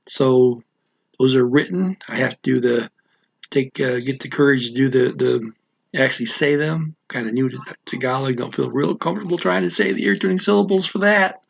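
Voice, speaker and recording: A man, a language teacher, giving a casual weekly channel update in a halting way, clearing his throat and using many fillers. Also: mid-range voice.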